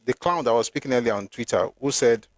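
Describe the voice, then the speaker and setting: A man's voice: medium pitch, quick pace, dry recording. A middle-aged man with a Nigerian accent, hosting an emphatic, argumentative opinion monologue with frequent restarts. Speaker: host.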